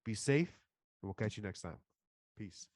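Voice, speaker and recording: A man co-hosting a podcast, a little hoarse from being sick, signing off with upbeat plugs. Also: on the low side, animated, normal speed, background noise. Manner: upbeat, hyped plugs and shout-outs. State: losing his voice after being sick. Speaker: podcast co-host. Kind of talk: casual podcast sign-off.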